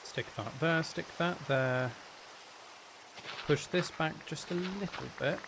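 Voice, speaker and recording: A man's voice: a little high, slow. A man giving casual live game commentary, thinking aloud in a playful, self-deprecating, rambling way. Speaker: game streamer. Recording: steady rain noise behind the voice. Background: rain sounds.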